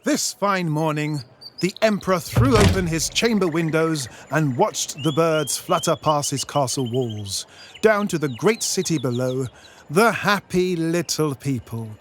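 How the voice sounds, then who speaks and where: A British man giving theatrical, tongue-in-cheek storybook narration in a pompous, deliberate manner. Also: on the high side, expressive, noisy background.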